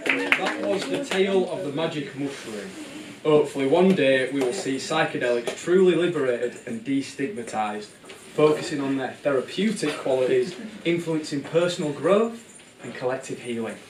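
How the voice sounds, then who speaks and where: Man as theatrical puppet show storyteller: whimsical, excitable, swooping delivery, echoey live recording over music. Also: mid-range voice, normal speed, recorded from a distance, background noise, close to an everyday voice. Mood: reflective. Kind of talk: theatrical scripted narration.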